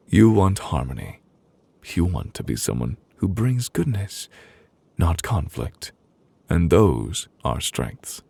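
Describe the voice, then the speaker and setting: Man playing a gentle protective lover, slow soothing pace, breathy husky tone, intimate ASMR roleplay, close dry mic, soft pauses. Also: low-pitched, dry recording, full character voice. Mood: tender, grave. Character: gentle, protective male lover, calm and reassuring. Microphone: close, dry studio microphone.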